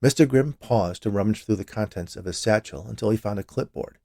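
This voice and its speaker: A man's voice: on the low side, a little fast, mellow, dry recording, studio quality. A man reading scripted fiction aloud as a storyteller. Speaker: story reader.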